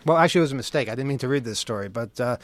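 A man's voice: mid-range voice, racing. A man hosting a radio show, bantering casually live on air in a wry, joking, sardonic manner. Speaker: radio host.

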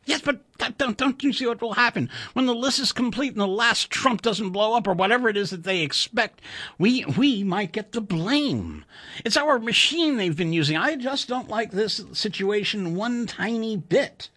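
A man voicing a nervous, excitable male computer engineer who stammers and talks urgently. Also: moderate pace, breathy edge, exaggerated voice. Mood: worried. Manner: high-strung, stammering, urgent.

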